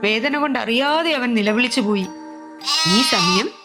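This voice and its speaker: A woman storyteller narrating a scripted children's tale in an expressive, dramatic way. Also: on the high side, unhurried, over loud music.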